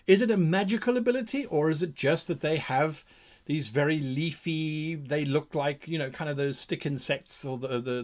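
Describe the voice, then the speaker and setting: A man hosting an advice show for game masters, thinking aloud in a theatrical way and posing questions to himself as he explains. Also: medium pitch. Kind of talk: explanatory monologue on monster creation.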